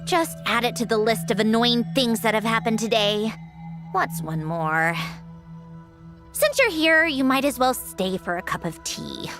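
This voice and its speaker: A woman's voice: on the high side, expressive, measured pace, music in the background. An actress playing an aloof, literal-minded young female android in a video game, precise and a little haughty, with a wistful streak. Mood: annoyed, haughty.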